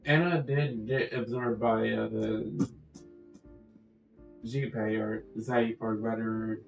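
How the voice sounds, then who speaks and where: Male game streamer, unhurried, rambling delivery, dull recording with music behind. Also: on the low side, fairly even intonation, creaky, far from the mic. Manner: rambling, thinking aloud. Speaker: game streamer playing through a story quest. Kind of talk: casual let's-play commentary. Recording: echoey, dull audio with music.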